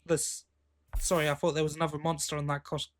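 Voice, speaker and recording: Higher-voiced man chatting casually. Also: little pitch movement, quick pace. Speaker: podcast co-host. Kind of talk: casual chat about audio drama releases.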